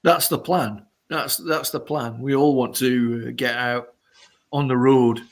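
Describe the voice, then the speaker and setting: Man, middle-aged or older, slow pace, medium pitch, hesitant, casual musician interview. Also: fairly even intonation. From Sheffield, England.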